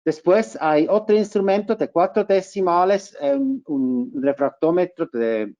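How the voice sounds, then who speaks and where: Swiss German man in his second language, fairly high voice, careful technical webinar delivery, muffled lo-fi audio. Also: sing-song, measured pace, dark tone. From Switzerland. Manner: careful, with frequent restarts and fillers. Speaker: market manager presenting a webinar.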